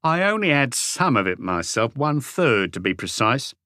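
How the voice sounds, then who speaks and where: A man's voice: mid-range voice, big pitch swings, moderate pace, bright, forceful tone, no room sound, studio quality. A man voicing a self-satisfied, disdainful male suspect in his late twenties for an audiobook. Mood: smug. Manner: smug, insistent.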